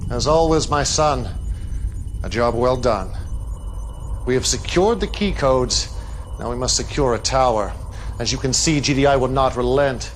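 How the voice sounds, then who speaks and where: An actor playing a zealous, calculating cult leader in a game cutscene, speaking in a calm, grandiose, deliberate manner. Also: male voice, medium pitch, a little hiss or hum, lightly acted. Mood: grandiose, paternal. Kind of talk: game cutscene acting, addressing the player.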